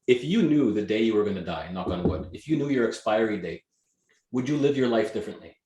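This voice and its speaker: Energetic Canadian-born man, echoey room. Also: fairly low pitch, quick pace. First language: Greek. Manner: energetic, emphatic, motivational. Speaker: guest, real estate team leader. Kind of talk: casual interview chat.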